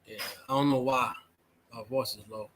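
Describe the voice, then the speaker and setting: A man's voice: medium pitch, steady tone, normal speed, a bit bright. A man hosting a casual talk about cryptids, loosely prompting his guest. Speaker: channel host. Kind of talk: casual chat interview.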